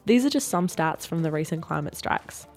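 A woman's voice: deep voice, expressive, normal speed, faint music behind. A young woman co-hosting a podcast, chatty and full of "like". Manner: chatty, uses "like" a lot. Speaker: podcast co-host. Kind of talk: casual podcast chat and street reporting.

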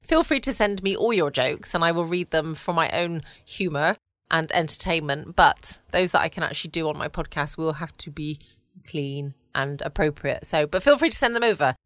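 A woman hosting a relaxed late-night bedtime podcast, chatting from home. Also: sits low, moderate pace, no room sound, studio quality. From United Kingdom. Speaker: podcast host. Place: at home, dry quiet room.

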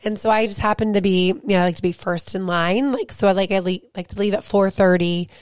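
Hoarse husky-voiced woman, dry mic. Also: medium pitch, fast talker, dry recording. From Ohio. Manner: chatty, rambling storyteller. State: hoarse, losing her voice. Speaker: podcast co-host. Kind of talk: casual chat.

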